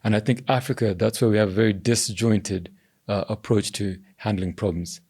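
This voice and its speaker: A young man from Zambia, a governance strategist giving measured interview answers that he often hedges with "I think.". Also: fairly low pitch, fairly even intonation, darkish tone, husky. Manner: measured, hedging with "I think" and "you know". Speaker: podcast guest, governance strategist.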